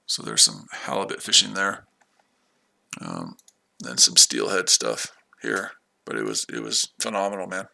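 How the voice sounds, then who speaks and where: A man's voice: extremely low pitch, big pitch swings, unhurried, gravelly, bright, forceful tone. An American man casually chatting on a livestream while trading, rambling and talking to his viewers. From United States. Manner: rambling, repeats himself, chats with viewers. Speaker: livestream trader.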